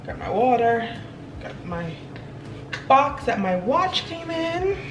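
A woman's voice: on the high side, sing-song, slow pace, music in the background. A young woman talking breezily to a handheld camera at home, chatting casually and laughing often. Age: young adult. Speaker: vlogger. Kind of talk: casual vlog chat to camera. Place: home kitchen and bedroom indoors. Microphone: handheld camera at arm's length.

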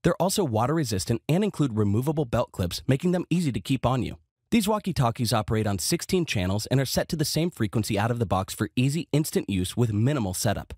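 A man's voice: medium pitch, lively intonation, fast, a little mellow, husky, dry recording, studio quality. A man reading scripted product review narration with a polished, promotional delivery.

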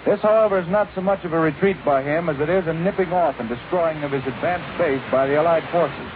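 A man giving a formal, deliberate wartime news report as a 1940s overseas radio correspondent. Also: a little high, phone-quality sound. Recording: noisy, thin 1940s shortwave relay.

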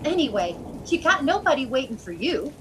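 A harried carhop coaxing her coworker, played by a woman in a vintage radio play. Her fairly high voice swings widely as she pleads in chummy slang, with rain and faint noise behind. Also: big pitch swings, brisk. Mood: pleading. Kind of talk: old-time radio drama acting.

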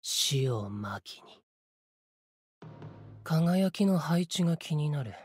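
A man voicing a solemn, cryptic sword spirit warrior in a Japanese game, with measured, portentous, archaic phrasing and a breathy voice. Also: on the high side, big pitch swings, exaggerated voice. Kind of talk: Japanese game character voice lines.

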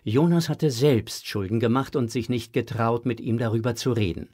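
A man's voice: medium pitch, moderate pace, mellow. A man narrating a children's audio drama from a script.

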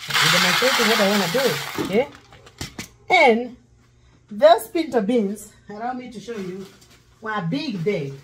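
A woman's voice: medium pitch, a little hiss or hum, poor audio. Lady pastor, preachy, sings bits, recorded on a camera across the kitchen counter. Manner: preachy, breaks into song. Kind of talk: cooking demo sermon. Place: home kitchen. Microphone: fixed camera across counter.

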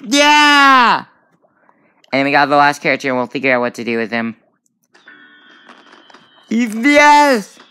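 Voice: medium pitch, sing-song, measured pace, long pauses, sharp tone, clear, resonant tone. A gamer giving casual let's play commentary on a tablet game, reacting aloud with frequent exclamations. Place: indoors at a tablet.

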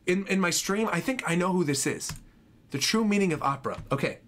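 A man's voice: high voice, lively intonation, brisk, fairly bright tone, a little hiss or hum. A young man giving casual, enthusiastic reaction commentary as a streamer, often repeating his phrases. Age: young adult. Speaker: streamer reacting to game trailers. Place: small home room, indoors. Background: game trailer music.

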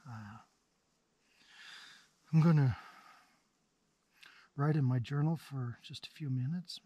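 A man in his thirties vlogging solo from a small tent while camping, talking slowly and sometimes dropping to a whisper. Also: mid-range voice, husky, dry recording, lo-fi sound. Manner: slow, relaxed, drops to a whisper at night. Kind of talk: casual vlog narration. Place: small tent outdoors in the mountains.

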